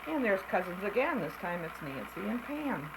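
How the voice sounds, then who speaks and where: An older woman with a gravelly voice, chatting casually as she looks back over old family slides, often clearing her throat. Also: medium pitch, sing-song, moderate pace. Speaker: family member narrating home slides. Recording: home recording with noticeable background noise.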